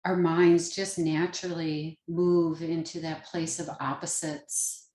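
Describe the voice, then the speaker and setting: A woman leading a guided meditation as a teacher, speaking gently in short, unhurried phrases with pauses between them. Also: deep voice, flat delivery. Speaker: yoga nidra meditation teacher. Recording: roomy, echoing sound.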